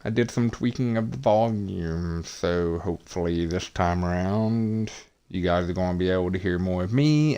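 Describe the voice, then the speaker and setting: A man streaming a wrestling video game, talking in a monotonous, hesitant way with many pauses and fillers. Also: deep voice, clean tone. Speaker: gaming streamer. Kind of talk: casual game commentary.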